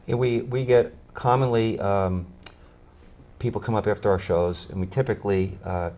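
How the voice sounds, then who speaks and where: Deep-voiced bassist, eager and stumbling. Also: male voice, fairly even intonation, moderate pace, mellow. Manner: enthusiastic, repeats words when starting. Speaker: tribute band bassist. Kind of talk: interview conversation.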